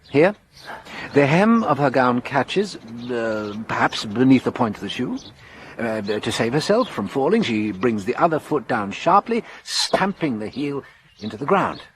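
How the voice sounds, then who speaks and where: An actor playing a shrewd, theatrical middle-aged inspector in a radio drama, dry, ironic and commanding. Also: male voice, medium pitch, slight background noise, lightly acted.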